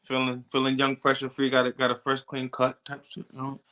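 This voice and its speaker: A man's voice: mid-range voice, completely flat delivery, brisk, bright, forceful tone. A man co-hosting a casual podcast in heavy slang, often repeating his phrases for emphasis. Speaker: podcast co-host.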